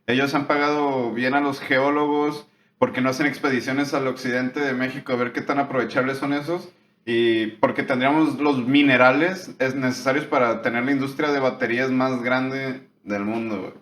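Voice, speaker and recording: A man's voice: medium pitch, steady tone, normal speed, fairly bright tone, echoey room. A young man from northern Mexico with a northern Mexican accent, co-hosting a casual podcast chat and explaining at length in heavy slang. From Tijuana, Mexico. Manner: slangy, explains at length, drops "güey" constantly. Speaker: podcast co-host.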